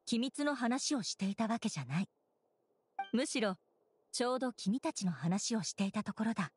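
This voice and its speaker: An actress playing a composed young female knight commander in an anime game, formal and gently authoritative. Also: a little high, animated, moderate pace, a little hiss or hum, natural voice. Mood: welcoming. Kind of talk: Japanese anime game acting.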